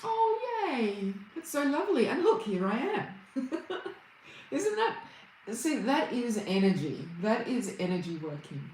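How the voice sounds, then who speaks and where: An Australian woman chats on a livestream, her pitch rising and falling animatedly as she greets viewers by name. The room around her is echoey, with faint noise underneath. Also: sing-song, unhurried, a little mellow. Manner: chatty, greets viewers by name, laughs easily. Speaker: Reiki healer and livestream host.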